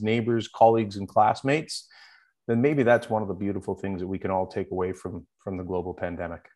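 Mid-pitched guy, guest on a call, earnest and upbeat. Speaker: guest, founder of a connection project. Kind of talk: interview conversation.

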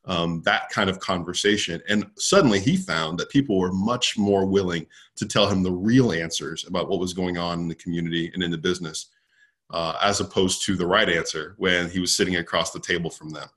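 A man with a low-pitched voice speaks as an expert interview guest. He is conversational and affirming, and explains his points as stories. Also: fairly quick, fairly bright tone.

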